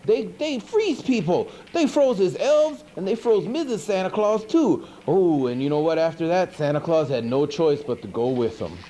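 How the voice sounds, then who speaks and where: A man's voice: a little high, sing-song, normal speed, hardly any pauses, warm tone. A man playing a goofy, chatty, sardonic monster who hosts a horror movie show, recapping films in casual, rambling slang on a noisy 1980s VHS recording. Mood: excited, then mock-indignant. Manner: casual, rambling, mock-indignant slangy delivery. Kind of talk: comic movie recap monologue.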